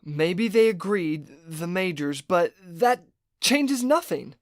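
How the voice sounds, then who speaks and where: A man voicing a young wolf lab worker in an animated story, earnest and quietly defiant, speaking with hesitant restraint. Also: high voice, slow, dry recording, clean studio sound, pitched above the performer's own voice. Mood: tense, objecting.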